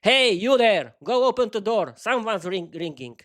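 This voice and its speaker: A man voicing a bossy, sharp-tongued young woman who snaps orders at her boyfriend. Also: high voice, sing-song, moderate pace. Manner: bossy, snapping.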